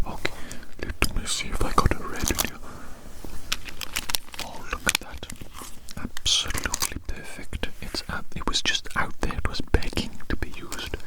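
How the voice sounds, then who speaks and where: An ASMR performer whispering breathily right up close to the microphone in a slow ramble, softly repeating phrases. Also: poor audio.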